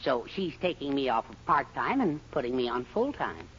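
Husky, meek, henpecked husband. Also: male voice, medium pitch, sing-song, moderate pace, fairly bright tone. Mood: meek, fussy. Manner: fussy, hesitant, mild. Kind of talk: 1940s radio sitcom acting. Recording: muffled 1940s radio recording.

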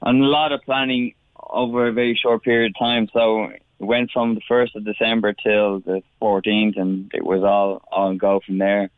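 A man talking as a caller on a radio show, upbeat, rattling things off in quick runs. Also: fairly low pitch, level tone, dry recording. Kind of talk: phone interview. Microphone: telephone. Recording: phone line.

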